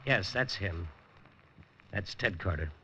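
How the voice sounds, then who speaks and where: A man's voice: low-pitched, expressive, slow pace, bright, forceful tone, slight background noise, heavily acted. An actor playing a dogged, hardboiled newspaper reporter in a 1950s radio drama, with a terse, wry tough-guy delivery. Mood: sombre. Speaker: lead actor. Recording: dull lo-fi 1950s radio broadcast.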